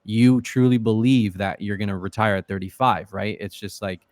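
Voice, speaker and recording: Casual profane man, low voice, dry close sound, filler-heavy podcast guest. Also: steady tone, normal speed, darkish tone, no echo. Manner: loose and profane, many fillers. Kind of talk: casual interview talk.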